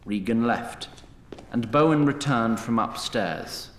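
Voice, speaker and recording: A man giving scripted narration for a fantasy audio drama, in a wry and deliberate manner. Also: mid-range voice, echoey room, a little hiss or hum.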